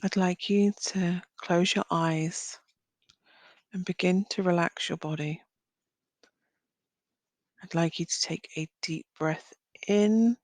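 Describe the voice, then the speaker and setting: A woman leading a guided visualisation as a meditation guide, speaking gently in short phrases with long pauses. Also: lowish voice, steady tone, no echo. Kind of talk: guided visualisation, slow soothing narration.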